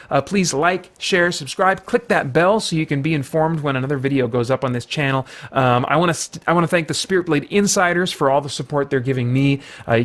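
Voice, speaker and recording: A man's voice: fairly high pitch, fairly bright tone. A man giving an unscripted review to viewers as the host, conversational and often correcting himself as he goes. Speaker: film reviewer, channel host.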